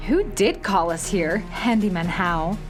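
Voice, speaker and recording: A woman's voice: medium pitch, animated, measured pace, over music, lightly acted. An actress playing a plucky, inquisitive girl assistant in a children's space radio drama, speaking expressively. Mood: suspicious.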